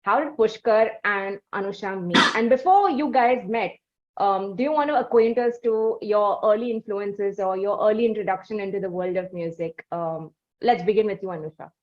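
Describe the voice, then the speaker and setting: A woman's voice: mid-range voice, moderate pace. Female interview host, courteous, slightly dull audio. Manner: polite, courteous questioning. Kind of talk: music interview.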